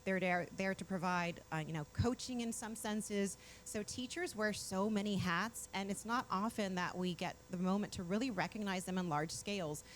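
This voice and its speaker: Chatty, bubbly female morning-show host, mid-pitched, faint hiss behind her. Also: normal speed, hardly any pauses. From Mexico. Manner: chatty, bubbly, explains with enthusiasm. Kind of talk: casual studio chat.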